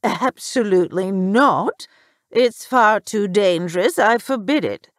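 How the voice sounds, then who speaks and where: A woman's voice: medium pitch, slow, dry recording, studio quality. Woman playing a haughty, imperious socialite mother. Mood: stern. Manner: imperious, deadpan. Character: haughty, dry-witted, domineering middle-aged socialite mother.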